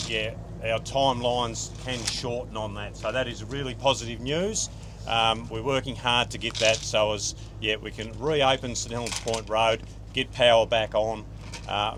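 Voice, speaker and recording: An Australian man with a mid-pitched voice speaks informally at an outdoor briefing, pausing and restarting his sentences. Loud outdoor noise and vehicles sit behind him throughout. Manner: informal, halting, with many fillers and restarts. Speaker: fire incident controller. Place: outdoors, with a helicopter overhead.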